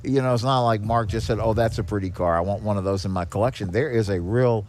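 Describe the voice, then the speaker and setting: A man's voice: on the low side, quick pace, a little mellow, slight background noise. A man speaking as a guest in a casual interview, earnest and appreciative in manner. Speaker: podcast guest, charity executive director. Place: on location at a garage. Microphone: podcast microphone with headphones.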